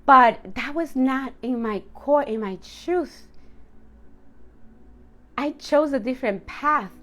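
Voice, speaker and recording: A woman's voice: high voice, slow, fairly bright tone. Candid woman in her late thirties, motivational speaker. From Dominican household. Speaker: self-love coach speaking to viewers. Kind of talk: personal motivational talk to camera.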